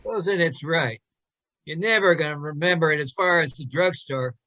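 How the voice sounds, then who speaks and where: An actor playing a wry, amused saloon bartender in an old-time radio play. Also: male voice, fairly high pitch, moderate pace, pushed and bright, no room sound, lo-fi sound.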